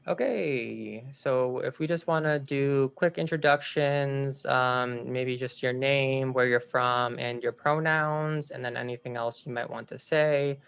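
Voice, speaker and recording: A man hosting an online game over a video call, explaining the rules in a relaxed way with frequent "um"s. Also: mid-range voice, a little slow, gravelly, few pauses, smooth. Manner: relaxed, many "um"s. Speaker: game host. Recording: slightly dull video-call audio.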